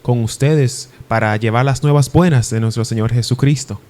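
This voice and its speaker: A man's voice: medium pitch, moderate pace, a little mellow. A young man from the Dominican Republic, a guest preacher giving his testimony in an interview, earnest and hesitant with restarts. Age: young adult.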